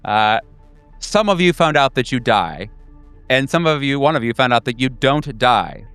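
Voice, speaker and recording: A man with a smooth, clear voice acts as game master at a horror tabletop session. He speaks casually and a little haltingly, in conversational table talk. Music plays behind him. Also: medium pitch, animated, fairly bright tone, no room sound.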